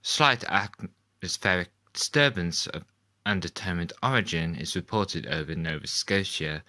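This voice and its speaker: A man reading scripted radio play announcements in a formal, deliberate way. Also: sits low, sharp tone, no room sound.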